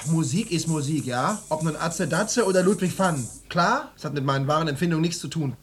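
A young man playing a cool, pompously callous teenage son in a dark comic radio play, deadpan and declamatory. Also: a little high, fairly quick, lightly acted. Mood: defensive. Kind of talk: German radio play, dark comedy acting.

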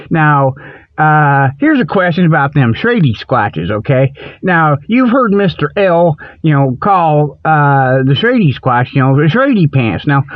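A man's voice: a little high, dry recording. A man playing a folksy, rambling comic radio host who delivers a mock report with colloquial speech and repeats himself. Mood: rambling, mock earnest. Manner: rambling, repetitive, chuckles at own jokes. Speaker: comedy radio show host. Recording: dull lo-fi audio.

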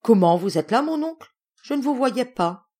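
Woman acting out a domineering, gushing noblewoman, high-pitched. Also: very animated, moderate pace, a little mellow. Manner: imperious, effusive. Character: domineering, gushing middle-aged Russian noblewoman, scolding and flattering.

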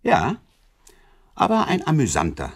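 An actor playing a smug, amused Scotland Yard investigator in a German radio drama, talking in a wry, condescending, self-assured way. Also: male voice, on the high side, huge pitch swings, a little slow, full character voice.

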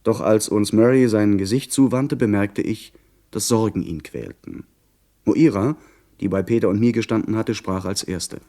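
A male actor as an earnest gentleman narrator in a radio drama of pirates and sea voyages. His voice is fairly low, and he tells the tale in measured, articulate, literary sentences. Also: natural voice. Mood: earnest recounting. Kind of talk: scripted radio play narration.

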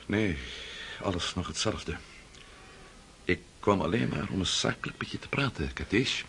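An actor playing a scheming, impatient older prince in a radio drama, coaxing and condescending with a rough, hoarse voice. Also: male voice, low-pitched, animated, moderate pace, a bit bright, a little hiss or hum, full character voice. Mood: weary, coaxing.

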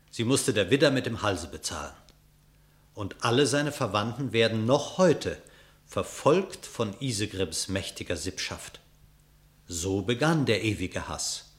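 A man narrating a verse fable for a radio drama with measured, formal diction. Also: fairly low pitch.

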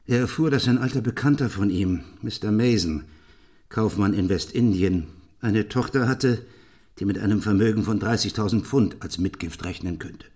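An actor in a German radio play playing a bitter, brooding middle-aged English gentleman who confesses his past in an intense, deliberate way. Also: fairly low pitch, natural voice. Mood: bitter, agitated. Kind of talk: German radio play acting, dramatic monologue.

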